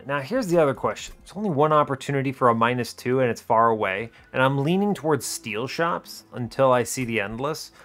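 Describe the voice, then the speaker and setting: Male gaming host, mid-pitched, reasoning through each move deliberately, with music behind him. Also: fairly bright tone. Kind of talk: strategy game commentary, thinking aloud.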